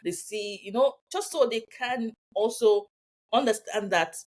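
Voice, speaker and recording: A woman's voice: fairly high pitch, measured pace, darkish tone. A woman with a Nigerian accent, a guest in a casual interview, chatty and often checking in with the listener. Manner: chatty, repeats words, checks in with "okay?". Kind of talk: casual conversation.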